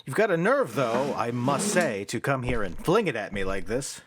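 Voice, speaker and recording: A man's voice: medium pitch, big pitch swings, normal speed, noisy background, lightly acted. A man playing a brusque, sceptical and commanding theatre manager in an audio stage drama. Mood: dismissive. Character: blustery, sceptical theatre manager, gradually tempted.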